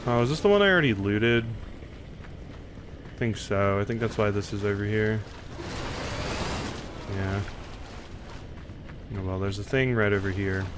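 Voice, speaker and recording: A man's voice: fairly low pitch, background noise. A man streaming a game in casual, rambling commentary, a little under the weather with a slight cough. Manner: chatty, rambling, quick reactions.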